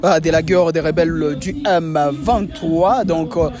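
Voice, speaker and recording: A man hosting an on-location interview, asking questions in a hesitant way with frequent filler pauses. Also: fairly high pitch, fairly even intonation. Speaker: interviewer and channel host. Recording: music clearly behind.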